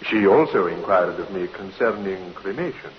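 An actor playing a formal, defensive doctor giving testimony in a radio courtroom drama, careful and precise. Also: male voice, deep voice, expressive, normal speed. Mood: carefully defensive. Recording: reverberant, muffled, hissy old radio recording.

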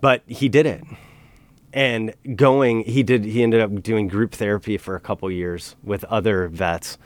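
A man's voice: on the low side, steady tone, a little slow, dry recording. A middle-aged man in casual podcast talk, earnest and emphatic, pressing his points with conviction.

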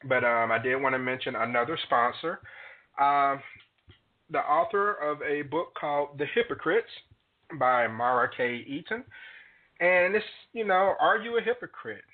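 A man hosts a radio talk show. He speaks calmly and agreeably and reads out sponsor messages. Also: medium pitch, unhurried. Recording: thin phone-line sound.

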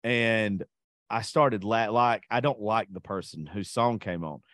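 A man's voice: lowish voice, a little slow, a bit bright, no echo, studio quality. A man hosting a casual podcast chat, blunt and crude, joking and swearing freely. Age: adult. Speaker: podcast host.

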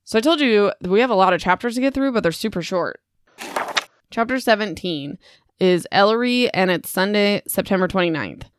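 A woman's voice: medium pitch, expressive, normal speed, noisy background. Chatty young woman recapping a book. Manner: chatty, rambling, self-deprecating asides. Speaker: podcast host. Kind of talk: casual book recap podcast.

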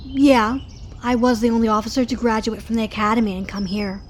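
Actress as a high-voiced, friendly policewoman. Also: fairly even intonation, a little fast, slight background noise, close to an everyday voice. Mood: friendly, casual. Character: friendly young female police officer. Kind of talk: audio drama acting.

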